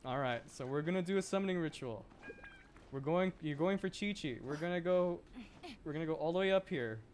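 A man's voice: on the high side, fairly quick, a little mellow. Playful young man streaming, noisy recording. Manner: playful, rambling, laughs often. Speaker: livestreamer. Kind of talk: casual gaming livestream chat.

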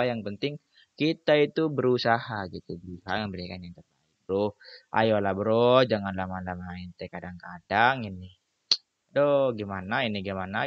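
A young man with a fairly low voice talks informally to his viewers. He repeats phrases as he goes, in a casual screen-recording style. The sound is dry and somewhat dull, recorded close up. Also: no room sound. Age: young adult. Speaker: YouTuber reviewing channel earnings. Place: small room indoors at a computer.

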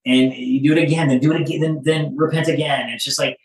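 A middle-aged man speaking earnestly and haltingly in an interview, reflecting as he goes with deliberate pauses. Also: fairly high pitch, steady tone, slight background noise. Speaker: studio head being interviewed. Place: small office, on a video call. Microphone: phone some way off. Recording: roomy, distant phone microphone.

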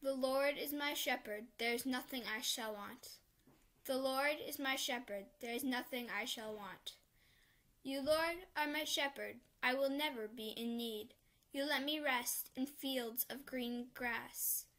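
A woman, serving as lector, reading the responsorial psalm in a calm, measured way with a repeated refrain. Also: high voice.

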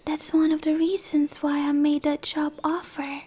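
A woman voicing a shy, gentle young dryad in a breathy, hesitant ASMR whisper. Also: high-pitched, completely flat delivery, moderate pace, natural voice. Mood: soft, earnest. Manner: hesitant, soft-spoken, earnest. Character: shy, gentle young female dryad, a tree-bound monster girl.

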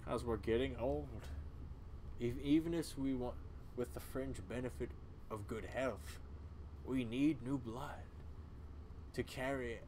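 A man's voice: mid-range voice, steady tone, slow pace, pauses often, a little mellow, a little hiss or hum, natural voice. A man voicing a weary, brooding old small-town cult leader in a dramatic video game reading. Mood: grim, brooding. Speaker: video game player voicing all characters.

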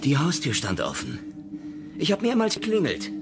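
A man's voice: on the high side, huge pitch swings, moderate pace, loud music behind the voice. An actor playing a blunt, probing male investigator in an audio thriller. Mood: calm, probing. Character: blunt male investigator Sawyer, gruff but caring. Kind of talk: German audio thriller acting.

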